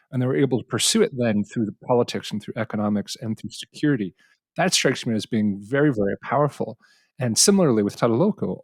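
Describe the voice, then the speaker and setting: A man's voice: mid-range voice, slightly rough, dry recording. A man hosting a podcast interview, thinking aloud with frequent restarts and self-corrections. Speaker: podcast host. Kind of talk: conversational interview.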